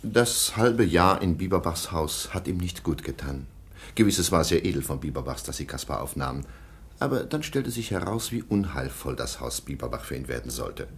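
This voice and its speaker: An actor playing a stern, sceptical middle-aged German baron in a radio play, dry, formal and sardonic. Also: male voice, sits low, sing-song, moderate pace. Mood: indignant, narrating.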